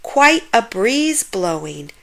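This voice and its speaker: A woman's voice: medium pitch, big pitch swings, slow, vocal fry, pitched above the performer's own voice, heavily acted. A woman voicing an adventurous, hearty old grandfather in a storybook, with a theatrical, emphatic manner. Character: adventurous, hearty old grandfather, confidently oblivious, fond of tales. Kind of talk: storybook character voice.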